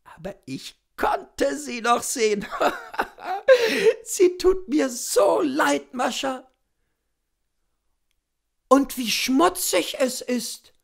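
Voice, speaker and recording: Guy doing a gossipy young village girl from a storybook, squeaky high, super animated. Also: extremely slow, vocal fry, long pauses, full character voice. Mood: shocked, pitying. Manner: gossipy, breathless excitement. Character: excitable, nosy young village girls. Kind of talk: dramatised classic story reading.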